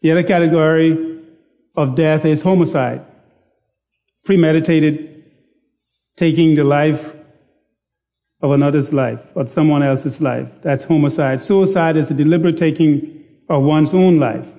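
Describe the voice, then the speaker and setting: Male preacher, warm and dark in tone, deliberate and self-deprecating. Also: fairly high pitch, steady tone. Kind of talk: sermon. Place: church sanctuary.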